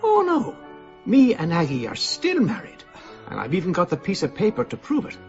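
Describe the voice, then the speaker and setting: Cheeky, leering down-and-out, animated. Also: male voice, sits high, moderate pace, exaggerated voice. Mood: smug. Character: cheeky, leering down-and-out estranged English husband. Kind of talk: radio drama acting. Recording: dull radio recording, faint music behind.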